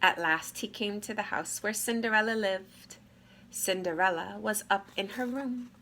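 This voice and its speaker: Woman reading a kids fairy tale, bright and really animated, careful phrasing, some noise behind. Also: mid-range voice. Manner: deliberate, expressive phrasing for children. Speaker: read-aloud storyteller. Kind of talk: expressive children's story narration. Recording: clear background noise.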